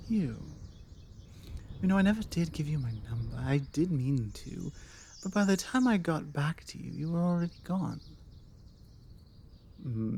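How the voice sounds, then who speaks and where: A woman with a British accent playing a forward, confident female vampire in an intimate ASMR roleplay, teasing and coaxing with deliberate pauses. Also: deep voice, a little hiss or hum, exaggerated voice. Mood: flirty, musing. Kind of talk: ASMR roleplay, intimate one-sided conversation. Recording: steady outdoor ambience with birdsong. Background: birdsong and outdoor ambience.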